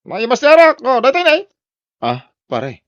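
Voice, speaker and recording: A man's voice: very high voice, wildly swooping pitch, normal speed, fairly bright tone, dull audio, heavily acted. A man with an Ilocano accent playing a hard-drinking husband in an audio drama, boisterous with friends, belligerent or sheepish at home.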